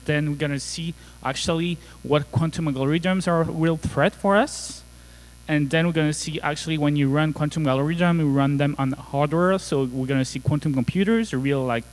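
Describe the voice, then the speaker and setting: Man, French accent, thirties, slightly high voice, loose and joking, conference talk. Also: rough recording. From France. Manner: casual, joking, filler-heavy. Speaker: conference speaker. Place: conference hall.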